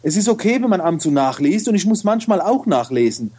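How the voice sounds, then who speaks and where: A man's voice: high voice, big pitch swings, fast talker, mellow, breathy edge. A man with a regional German dialect, talking casually as an interview guest with many fillers and restarts. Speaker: guest, photo retoucher and video trainer.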